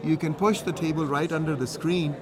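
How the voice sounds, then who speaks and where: A man talking fast and eagerly through technical details, his voice sitting a little high. He is recorded on a busy trade show floor, with a crowd murmuring all around. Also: steady tone. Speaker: company representative. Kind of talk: trade show product interview.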